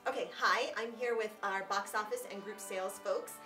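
An American woman speaks in a casual, enthusiastic way, like a presenter promoting a program. Her voice sits at a medium pitch, with faint music behind it. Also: fairly even intonation, moderate pace, pushed and bright. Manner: enthusiastic, gushing with superlatives. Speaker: theater artistic director, presenter. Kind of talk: casual promotional address and interviewing colleagues. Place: small indoor offices of a theater.

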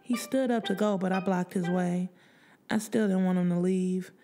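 Woman, audiobook narrator, mellow tone, expressive slangy storytelling, faint music underneath. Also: fairly low pitch, normal speed. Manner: expressive, streetwise slang delivery. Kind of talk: first-person dramatic fiction narration. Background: background music.

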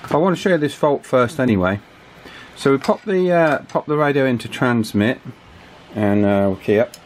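A man's voice: medium pitch, expressive, moderate pace. A man giving a casual hands-on repair demonstration, thinking aloud with some hesitation. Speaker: electronics repair hobbyist presenter. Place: small indoor office workshop. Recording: clear background noise, wind from fan. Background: table fan wind noise.

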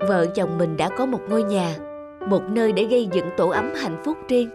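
A woman voicing a gentle, optimistic young cartoon wife, patient, content and reassuring in manner. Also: medium pitch, expressive, normal speed, music in the background, close to an everyday voice. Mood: gently reflective. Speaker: voice actor. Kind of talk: cartoon dialogue dub.

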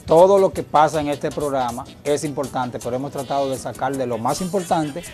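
A man's voice: mid-range voice, fairly quick, darkish tone. A man co-hosting a studio talk show, explaining in an earnest manner. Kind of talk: casual studio chat. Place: TV studio set. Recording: TV broadcast with background music.

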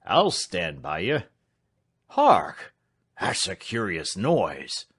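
Guy doing hearty old-novel servant bloke, deep voice, full of himself but kindly. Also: huge pitch swings, drawn-out words, a bit bright, breathy edge, exaggerated voice. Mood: alert, bluff. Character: hearty, self-important, good-natured manservant.

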